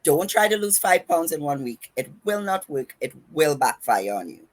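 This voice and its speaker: A woman's voice: low-pitched, sing-song, moderate pace. A woman with a Trinidadian accent hosting a podcast, giving fitness and diet advice in an emphatic, instructive way, running her words together. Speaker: podcast host and fitness coach.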